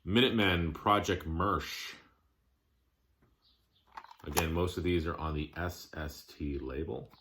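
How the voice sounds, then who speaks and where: A man giving a casual show-and-tell of his collection, plainspoken, listing items in short, halting phrases. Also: low-pitched, noisy background.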